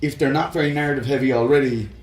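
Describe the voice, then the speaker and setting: A man's voice: mid-range voice, fairly even intonation, very fast, reverberant, soft background music, rough recording. A man in his thirties co-hosting a casual podcast, chatty and laughing often between fillers. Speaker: podcast co-host. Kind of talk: casual chat about films and games.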